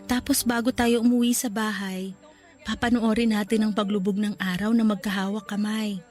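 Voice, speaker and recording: A woman playing a gravely ill young woman in a sentimental radio romance drama, loving, earnest and resigned to dying. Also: mid-range voice, level tone, normal speed, darkish tone, close to an everyday voice. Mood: hopeful. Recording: music under the voice.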